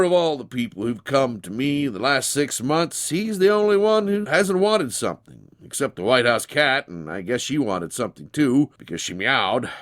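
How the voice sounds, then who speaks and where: A man voicing a proud folksy President, with an oratorical, deliberate statesman's way of talking. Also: mid-range voice, close to an everyday voice.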